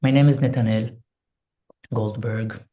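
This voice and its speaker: A man from Israel with an Israeli accent, speaking in English as an interview guest, soft and hesitant, searching for words and leading a quiet prayer. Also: medium pitch, steady tone, measured pace. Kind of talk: slow reflective interview talk with a spoken prayer.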